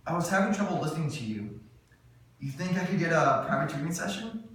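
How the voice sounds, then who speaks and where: A man's voice: fairly high pitch, expressive, fast talker, fairly bright tone. Brief: man reading cocky late-twenties charmer, smug come-ons, roomy distant sound. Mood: flirty. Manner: flirtatious, smug. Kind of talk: table read. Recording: echoey room, mic far off, faint noise.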